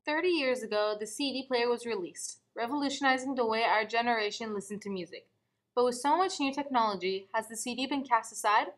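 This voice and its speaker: A teenage girl reads a news voice-over in a slightly high, measured voice. Her tone stays even and informative. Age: teens. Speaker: student reporter.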